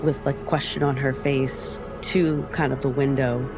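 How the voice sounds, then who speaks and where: A woman tabletop player describes her character's actions in a voice that dips below her acting pitch. Music is clearly audible behind her. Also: flat delivery, unhurried, poor audio.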